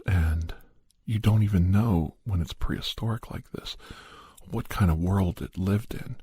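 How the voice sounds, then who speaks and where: A man with a very deep voice tells a first-person story in ASMR style. His tone is extremely husky and breathy, often falling into a whisper. He speaks deliberately in halting phrases, recorded dry and close. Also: warm tone, no echo. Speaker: podcast host narrating a story in character as a doctor.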